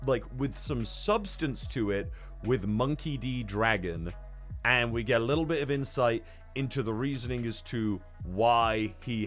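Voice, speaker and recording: A man chats as a podcast co-host in a mid-pitched voice, with faint music underneath. He recaps things in a loose, rambling, hesitant way and swears freely. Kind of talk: casual manga recap discussion.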